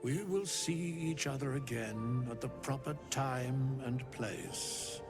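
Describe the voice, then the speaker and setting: An actor playing a mysterious, solemn man in a fantasy game, speaking deliberately in a gravelly voice. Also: medium pitch, music in the background.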